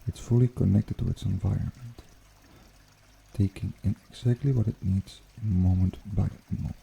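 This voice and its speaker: A man hosting an ASMR guided meditation in a hushed, unhurried, soothing way with gentle pauses. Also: sits low, steady tone, gravelly, a little hiss or hum. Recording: water trickling behind the voice. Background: pond water bubbling and trickling.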